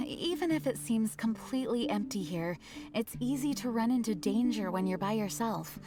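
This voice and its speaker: A woman voicing a responsible young female knight commander in a video game, measured, earnest and gently firm. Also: a little high, lively intonation, close to an everyday voice. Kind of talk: video game cutscene voice acting.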